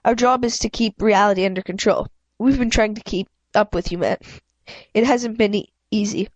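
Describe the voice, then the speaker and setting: A woman voicing a soft-spoken, calmly firm female reality police officer in a storybook, gentle and explanatory. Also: medium pitch, moderate pace, no echo, lightly acted, poor audio. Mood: firm, soothing.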